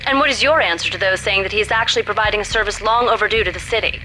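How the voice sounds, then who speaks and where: A woman's voice: medium pitch, very fast, bright, forceful tone, soft background music, close to an everyday voice, poor audio. A woman playing a polished television news reporter, reading a formal bulletin in a video game. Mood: urgent, formal.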